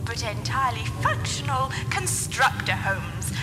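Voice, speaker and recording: Actress as a slow, high-voiced advert announcer. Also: female voice, big pitch swings, long reverb tail, over loud music, rough recording. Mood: smooth selling. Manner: measured, polished sales patter. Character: holiday camp advertising announcer. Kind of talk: scripted promotional narration.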